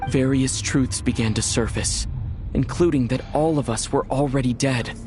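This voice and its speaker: Guy voicing earnest young game hero, serious measured storytelling, reflective, loud music behind him. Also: medium pitch, natural voice. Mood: somber, reflective. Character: young male traveler recounting a mystery, earnest and reflective. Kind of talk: scripted game narration, inner monologue.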